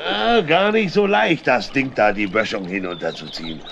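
An actor playing a jovial, boastful old vagabond trader in a children's radio play, with a folksy, exclamatory manner. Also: male voice, mid-range voice, moderate pace, creaky, highs cut off, crowd noise, close to an everyday voice. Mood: jovial, chatty.

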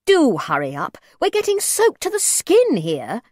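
A woman voicing a stern, suspicious mother in a storybook, curt, sharp and haughty. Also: on the high side, huge pitch swings, slow, no room sound, studio quality, heavily acted. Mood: sharp, impatient. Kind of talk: storybook character voice.

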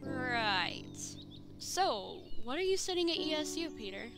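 A woman's voice: high-pitched, very animated, a little slow, exaggerated voice. A woman voicing a friendly, assertive young female college student in a comic dub, with a teasing manner. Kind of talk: comic dub character acting. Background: music.

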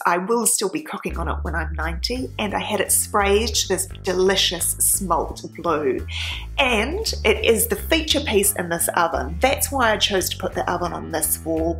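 A woman's voice: mid-range voice, fairly bright tone. A woman in her thirties who hosts a home renovation vlog, talking casually to camera in her home kitchen and explaining her choices step by step with plenty of enthusiasm. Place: home kitchen with tiled walls, indoors. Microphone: camera at medium distance, facing her. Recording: roomy kitchen echo with faint background music.

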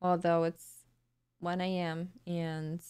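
A young woman streaming from her room late at night, tired and a little raspy, chatting casually and thanking viewers on a close studio mic. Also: on the low side, level tone, slow, a little mellow. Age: young adult. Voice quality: slightly raspy today. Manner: chatty, bubbly, laughs easily. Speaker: live streamer. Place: home streaming room, indoors. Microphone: boom studio mic close to mouth.

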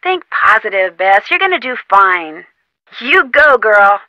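A woman's voice: medium pitch, big pitch swings, unhurried, bright, forceful tone, dry recording, dull audio. An actress playing a plucky young female amateur detective in an adventure game, talking in an encouraging, insistent, conversational way. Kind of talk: scripted adventure game dialogue.

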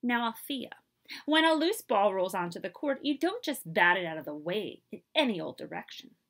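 A woman's voice: medium pitch, very animated, normal speed, a bit bright. Woman as a prim, instructive society lady. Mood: prim correcting. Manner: proper, instructive.

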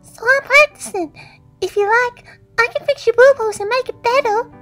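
Woman doing a sweet little southern girl, super high squeaky voice, all bubbly. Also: normal speed, fairly bright tone, faint music behind. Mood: earnest. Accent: Southern US twang. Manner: bubbly, earnest, childlike. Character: sweet little girl, cheerful aspiring doctor. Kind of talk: fan comic dub.

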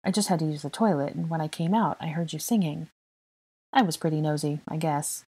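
A woman voicing a playful, smirking young father in a storybook, with a teasing, gently goading manner. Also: sits low, a little fast, husky. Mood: apologetic.

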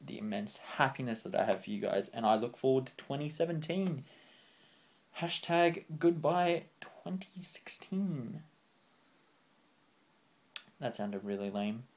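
A young man signing off his vlog, rambling off the cuff and earnest, his voice getting a bit croaky. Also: medium pitch, animated, unhurried, long pauses. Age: young adult. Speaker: channel host. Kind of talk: unscripted vlog sign-off. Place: small room indoors, on a couch.

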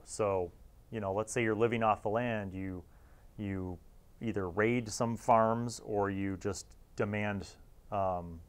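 Guy in his 40s, kinda low voice, chatty wry review host talking to camera. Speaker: host reviewing old gaming magazines. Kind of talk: casual, informal commentary to camera. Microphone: lapel mic.

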